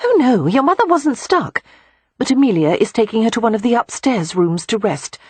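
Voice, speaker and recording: A woman reading a novel aloud, voicing a bright, frank and eager young gentlewoman in a much higher voice. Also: sing-song, normal speed, slightly rough, dry recording. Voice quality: bright, earnest.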